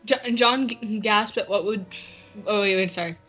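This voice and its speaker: A woman's voice: mid-range voice, normal speed, fairly bright tone. A woman doing a casual dramatic reading with friends, giggly and often breaking off to comment on the text. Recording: music playing behind the voice. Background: music.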